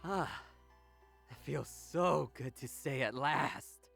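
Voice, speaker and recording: A man's voice: fairly high pitch, takes it slowly, vocal fry, fairly bright tone, soft background music, heavily acted. A man playing a cold, imperious young man, haughty and theatrical in his contempt, in a visual novel. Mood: gloating, bitter.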